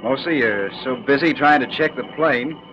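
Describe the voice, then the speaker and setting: An actor playing a confident, combative military test pilot captain in 1940s radio drama, breezy and plain-spoken. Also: male voice, mid-range voice, moderate pace, natural voice. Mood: breezy, assured. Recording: dull vintage radio recording, vehicle noise.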